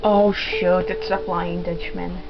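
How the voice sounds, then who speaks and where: A woman casually commenting while playing a video game, chatty and quick. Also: on the low side, level tone. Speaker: gamer. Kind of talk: let's play commentary. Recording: dull lo-fi game capture with music.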